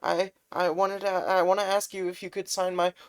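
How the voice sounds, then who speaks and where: A man voicing an excitable, nervous teenage boy who idolizes a hero, stammering and flustered in a fan-told story. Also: mid-range voice, slow pace, pitched above the performer's own voice, close to an everyday voice. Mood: flustered, eager.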